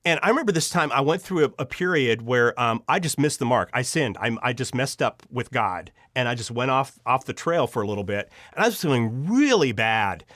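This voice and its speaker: A man's voice: medium pitch, animated, fairly bright tone, dry recording. Earnest man telling stories, very dry recording. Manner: earnest, halting, repeats words as he goes. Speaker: audio drama writer and producer, interview guest. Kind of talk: conversational interview storytelling.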